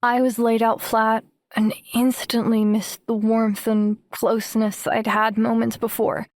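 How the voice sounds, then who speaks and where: A woman playing a wary, introspective teenage girl who narrates her own story in a measured audiobook style. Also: a little high, little pitch movement, darkish tone, no room sound. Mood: introspective, tense. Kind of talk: first-person audiobook narration.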